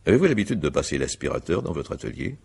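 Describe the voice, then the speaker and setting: A methodical, courteous but insistent magistrate in a radio mystery. His voice is extremely deep and husky, with lively swings of intonation. The phrasing is formal and deliberate. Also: heavily acted. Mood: politely probing. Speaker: examining magistrate. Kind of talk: French radio mystery drama acting.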